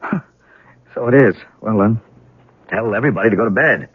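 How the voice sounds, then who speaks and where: An actor playing a grieving, wry and bitter heir to a wealthy house in a 1970s radio drama, speaking haltingly. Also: male voice, on the low side, husky, dry recording. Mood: weary. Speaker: actor in a radio mystery play. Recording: narrowband old radio broadcast, dry.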